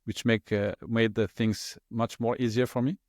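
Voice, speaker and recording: A middle-aged man whose first language is French, speaking as an interview guest in a relaxed, hesitant way with many fillers and restarts. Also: lowish voice, normal speed, no room sound, studio quality. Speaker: interview guest, LNG specialist. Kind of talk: conversational interview.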